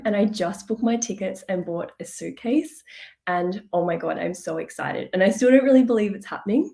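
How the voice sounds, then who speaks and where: A woman's voice: fairly low pitch, a little mellow. A woman coach chatting casually on a live stream, bubbly and laughing often, a bit congested from a cold. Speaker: business coach.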